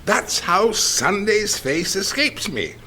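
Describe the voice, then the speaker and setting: An actor playing an excitable, philosophical detective in a radio drama, musing in a theatrical way. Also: male voice, high voice, takes it slowly, a little hiss or hum, full character voice. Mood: bewildered musing. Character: excitable, philosophical male detective fixated on strange ideas.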